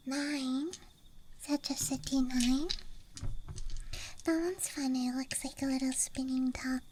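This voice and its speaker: A young woman whispering softly and playfully into a close microphone in casual, rambling ASMR style, musing aloud to herself. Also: sits high. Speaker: ASMR streamer. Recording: background noise, ambience with clicks. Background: night campground ambience, clicking of small objects.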